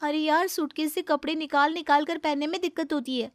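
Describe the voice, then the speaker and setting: A woman's voice: high voice, steady tone, a little fast, dry recording, clean studio sound, close to an everyday voice. A woman playing a young, polite but exasperated new daughter-in-law in a comic family story, with a pleading, plaintive manner. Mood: firm, complaining.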